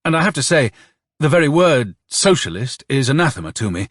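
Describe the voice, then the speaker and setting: A man plays a proud, blunt military doctor in an audiobook. His voice is husky and raised in pitch. He speaks with earnest, emphatic conviction. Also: expressive, brisk, no room sound, clean studio sound, lightly acted. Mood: defiant. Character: blunt, proud monarchist army doctor, male.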